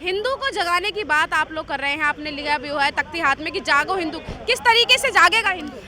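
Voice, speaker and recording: A woman's voice: extremely high pitch, expressive, fairly quick, sharp tone. A woman field reporter improvising live news reports and street interviews outdoors, with repetitive, filler-laden phrasing. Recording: busy outdoor street with crowd and traffic.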